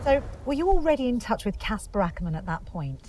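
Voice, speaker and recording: An actress playing a calm documentary interviewer in a game, asking probing questions. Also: female voice, a little high, quick pace.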